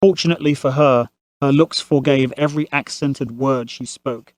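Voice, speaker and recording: A man's voice: mid-range voice, steady tone, moderate pace, dry recording. A man narrating a family saga as a scripted audio drama storyteller.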